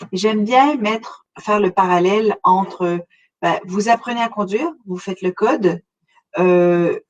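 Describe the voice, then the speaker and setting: A middle-aged woman from Montreal with a Québécois accent, presenting a webinar in a conversational way, speaking straight to her listeners with frequent hesitations. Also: medium pitch. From Montreal, Canada. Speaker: webinar presenter, relocation consultant.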